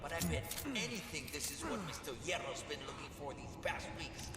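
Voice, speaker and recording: An actor playing an excited male miner exploring a mine, urgent and eager. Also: fairly high pitch, brisk, far from the mic. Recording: echoey game audio, loud music.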